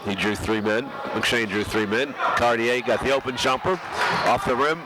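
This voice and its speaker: A man with a head cold, a little nasal and hoarse, calling a high school basketball game play by play with frequent restarts. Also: moderate pace. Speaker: play-by-play announcer. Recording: loud crowd and gym noise behind. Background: crowd and bouncing basketball.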